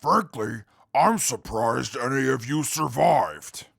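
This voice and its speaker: An actor in an audio drama playing a dour, suspicious wasteland companion with a gravelly voice and a blunt, deadpan manner. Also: male voice, mid-range voice, takes it slowly, dry recording, clean studio sound, full character voice.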